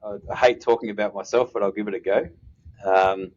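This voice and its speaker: A man in his fifties with an Australian accent, a mining executive answering interview questions in a measured way, pausing often with "uh". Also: lowish voice, fairly even intonation, darkish tone, dull audio. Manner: measured, with frequent "uh" pauses.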